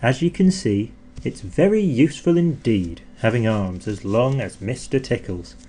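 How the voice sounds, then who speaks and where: Expressive man reading a children's storybook. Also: lowish voice. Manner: theatrical, drawing out words for effect. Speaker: audiobook narrator.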